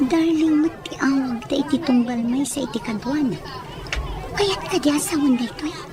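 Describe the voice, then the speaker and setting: A young working daughter in an audio drama, acted by a woman, earnest and chatty in a high voice. Clear noise and background ambience sit behind her. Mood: gossipy. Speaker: drama actress. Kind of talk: Ilocano audio drama acting.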